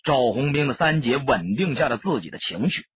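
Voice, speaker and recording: Husky, expressive male audiobook narrator. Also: medium pitch, fairly quick, no room sound, dull audio. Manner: solemn, emotive storytelling. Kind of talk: dramatic scripted narration.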